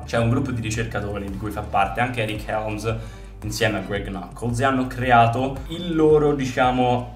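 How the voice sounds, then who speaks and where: A man's voice: mid-range voice, recorded from a distance. A young man in his twenties, a fitness coach chatting casually to camera with lots of fillers, recorded indoors in a bedroom-like room. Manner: chatty, many fillers like "diciamo" and "giusto?". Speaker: fitness coach and video host. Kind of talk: casual explanatory vlog to camera. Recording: roomy sound from away from the mic, faint music behind.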